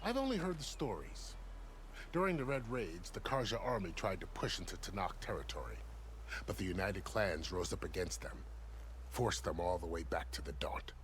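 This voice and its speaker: An actor playing a seasoned, plain-spoken soldier in a fantasy video game, explaining things in a wry, conversational way. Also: male voice, low-pitched, big pitch swings, moderate pace, a little hiss or hum. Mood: informative, wry.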